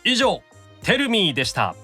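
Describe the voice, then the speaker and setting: A man's voice: high voice, wildly swooping pitch, normal speed, sharp tone, faint music behind. A man who works as a voice actor, chatting casually and reading listener letters aloud as a radio guest, polite and good-humoured.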